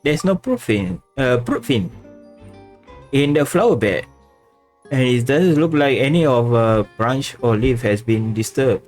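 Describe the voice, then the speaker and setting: Very low-voiced, slow female game streamer. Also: expressive, darkish tone. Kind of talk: casual commentary and reading game text aloud. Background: faint game music.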